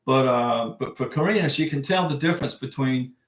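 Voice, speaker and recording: A man's voice: medium pitch, a little fast. Chatty man in an echoey room. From United States. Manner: chatty, rambling, reads viewer comments aloud. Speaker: livestream host giving visa advice. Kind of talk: casual livestream chat. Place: home room.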